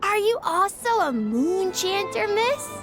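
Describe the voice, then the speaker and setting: A woman's voice: very high-pitched, big pitch swings, takes it slowly, heavily acted. A woman voicing a curious, innocent little child in a video game, earnest and childlike. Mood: curious, eager. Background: background music.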